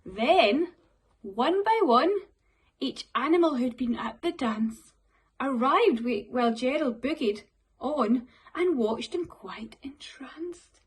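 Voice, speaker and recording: Slow, high-pitched Scottish woman reading aloud. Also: breathy edge. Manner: expressive, addressing young listeners. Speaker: primary school teacher. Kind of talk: storybook read-aloud for children.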